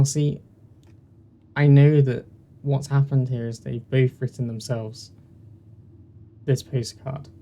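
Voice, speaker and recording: Creaky, mellow southern English man. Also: mid-range voice. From southern England. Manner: chatty, self-deprecating. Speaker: host of a gaming series. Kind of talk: casual let's play commentary. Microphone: poor-quality mic with uneven levels. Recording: poor mic with faint noise.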